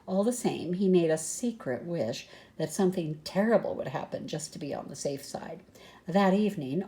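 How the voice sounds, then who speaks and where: A woman's voice: on the low side, mellow. An older woman reading a children's story aloud expressively, with chatty asides to the listener. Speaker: storybook reader.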